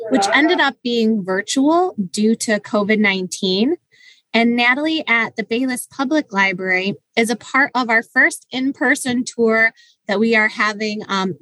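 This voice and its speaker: Bright-voiced woman, close dry sound. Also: mid-range voice, no room sound, rough recording. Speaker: podcast co-host, program director. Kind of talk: conversational explanation.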